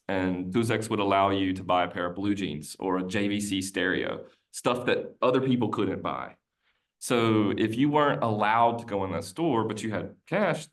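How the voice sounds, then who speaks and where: A man, a podcast guest, chatting casually and telling a long rambling story, often looping back and restarting his sentences. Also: lowish voice. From United States. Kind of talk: casual conversational interview.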